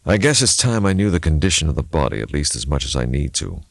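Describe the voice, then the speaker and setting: A man voicing a grieving but controlled male doctor in an audiobook, restrained and deliberate. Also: rumbling low, big pitch swings, gravelly, dry recording, clean studio sound, full character voice. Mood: pained, insistent. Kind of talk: audiobook character acting.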